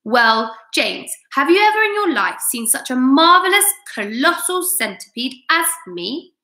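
Storybook centipede, a loud cheeky rascal played by a woman, bright and swooping, in a roomy echo. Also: high-pitched, measured pace, exaggerated voice. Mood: boastful, cheeky. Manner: boastful, excitable, exclaiming. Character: boastful rascally male centipede, loud and gleeful.

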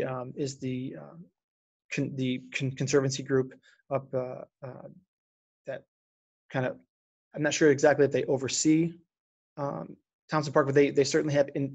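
Halting man with a gravelly voice. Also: medium pitch, a little mellow, dry recording. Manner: halting, many restarts and fillers. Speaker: city urban forestry official. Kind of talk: unscripted webinar presentation and Q&A. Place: remote video call.

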